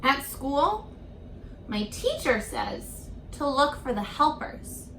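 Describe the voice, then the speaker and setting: A woman teacher reading a picture book aloud to young children, expressive and encouraging, pausing for chatty asides and questions. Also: high-pitched, a little hiss or hum. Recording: roomy echo.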